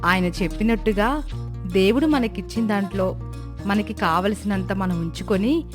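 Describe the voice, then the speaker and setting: A woman playing a modest, devout village wife, speaking calmly and respectfully in a moral story. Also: medium pitch, moderate pace, music in the background, close to an everyday voice. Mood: calm, sincere.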